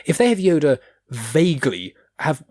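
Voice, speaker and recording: Opinionated, swearing podcast host. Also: male voice, fairly high pitch, very animated, normal speed, a little mellow. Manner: opinionated, rambling, swears freely. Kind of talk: casual chat.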